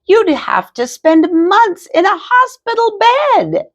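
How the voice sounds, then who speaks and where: A woman's voice: extremely high pitch, big pitch swings, slow pace, muffled recording, voice pushed up, exaggerated voice. A woman reading aloud, voicing a frazzled mother cat who scolds in an exasperated way. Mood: scolding.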